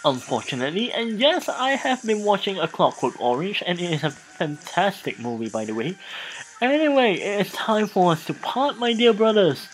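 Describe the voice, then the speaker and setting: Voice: mid-range voice, sing-song, moderate pace, poor audio. A let's play host giving a dramatic reading of game text, stumbling over words and repeating phrases.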